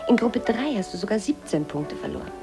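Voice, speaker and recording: A woman's voice: mid-range voice, very animated, fairly quick, music in the background, close to an everyday voice. A woman acting a sensible, sceptical media professional in a German radio drama, reasoning in a direct way. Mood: exasperated, reassuring. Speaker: actor.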